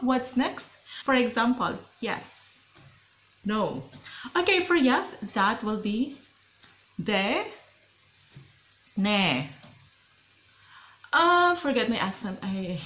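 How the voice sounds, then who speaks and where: A woman's voice: fairly high pitch, a bit bright, reverberant, low-quality recording. A young Filipino woman with a Filipino accent, chatting upbeat and playful in a casual vlog to camera in a small bedroom. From Philippines. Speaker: vlogger.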